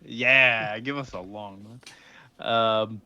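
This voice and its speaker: A man's voice: lowish voice, fairly even intonation, slow, highs cut off, a loud crowd. A man hosting a podcast, recapping in casual chat and loosely acting out dialogue in an informal way. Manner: informal, acts out characters' dialogue in loose paraphrase. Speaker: podcast host.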